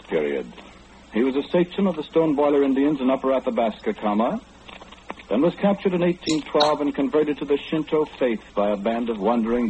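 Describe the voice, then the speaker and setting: An actor in an old-time radio play, playing a formal, fussy gentleman who calmly knows everything and speaks with matter-of-fact precision. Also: medium pitch, lightly acted. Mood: dictating, precise. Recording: dull, noisy old radio recording.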